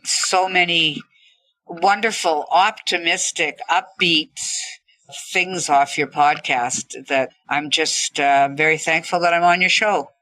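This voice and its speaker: Bright-voiced woman, low-pitched. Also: takes it slowly, no room sound, poor audio. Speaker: podcast guest. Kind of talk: reflective interview talk.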